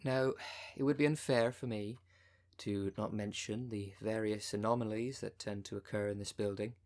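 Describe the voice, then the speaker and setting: A man's voice: lowish voice, lightly acted. A man voicing a scheming female cult recruiter in an audio roleplay, speaking with formal, businesslike politeness and dry condescension.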